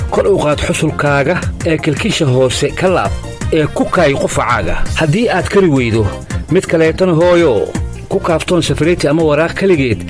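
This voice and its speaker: A man with a Somali accent delivering a rhythmic, half-sung health-message verse. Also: on the high side. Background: music.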